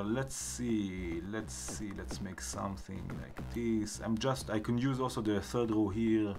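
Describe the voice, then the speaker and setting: Live-stream host, Israeli accent, unhurried and musing aloud, music underneath. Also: male voice, lowish voice. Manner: thinking aloud, unhurried, trailing fillers. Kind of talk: live-stream synth patching walkthrough.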